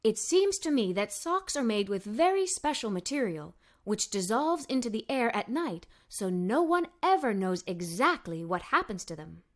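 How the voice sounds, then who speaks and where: A woman's voice: a little high, big pitch swings, normal speed, hardly any pauses, voice pushed down. A woman voicing an earnest, fanciful father in a children's story, speaking matter-of-factly. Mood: theorizing.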